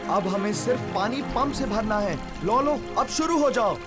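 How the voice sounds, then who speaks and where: A woman plays a young boy hero with a high, earnest voice. He sounds polite and resourceful in children's cartoon style, with loud music behind him. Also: lively intonation, moderate pace, close to an everyday voice. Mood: eager, directing. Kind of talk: Hindi-dubbed children's cartoon acting.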